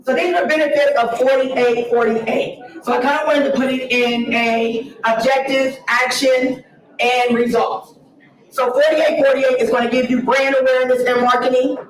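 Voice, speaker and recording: A woman from Ohio giving an earnest, emphatic presentation about her nonprofit, her voice raspy from an early start. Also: sits high, little pitch movement, normal speed. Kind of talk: conference presentation. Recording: echoey hall, distant mic.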